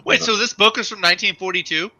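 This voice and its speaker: A man's voice: sits high, quick pace, a crowd in the background, rough recording. A man chatting casually as a panelist on a livestream. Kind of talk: casual chat.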